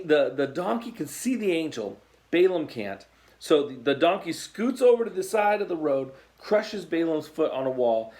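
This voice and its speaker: A man's voice: mid-range voice, a little mellow. American guy, youth pastor doing a bible story, chatty, reads verses then breaks off to comment. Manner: conversational, reading scripture and breaking off to comment. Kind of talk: Bible storytelling sermon for junior high students.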